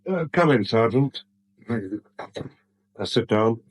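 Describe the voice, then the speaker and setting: A man's voice: medium pitch, lively intonation, drawn-out words, slightly rough, exaggerated voice. An actor playing an anxious middle-aged English businessman, polite but shaken, in a 1970s radio drama. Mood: tense, weary.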